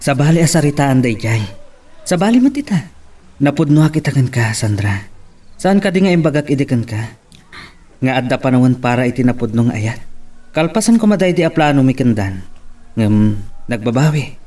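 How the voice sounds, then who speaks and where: A man's voice: mid-range voice, big pitch swings, normal speed, long pauses, warm tone, distant mic. A man with an Ilocano accent acting an earnest, hesitant suitor in a romance audio drama. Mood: earnest, pleading. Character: earnest, hesitant young male suitor confessing love.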